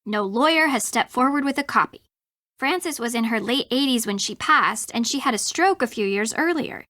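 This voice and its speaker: A woman playing a brisk young investigator in a video game, laying out theories in a matter-of-fact way. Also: fairly high pitch, animated, sharp tone, no room sound, studio quality. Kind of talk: video game voice acting, explanatory dialogue.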